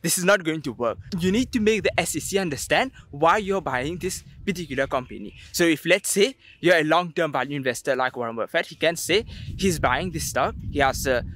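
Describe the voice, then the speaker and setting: A man's voice: fairly high pitch, a little fast, fairly bright tone. A young man presenting an investing explainer to camera, speaking emphatically. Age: young adult. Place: outdoors on a sandy beach.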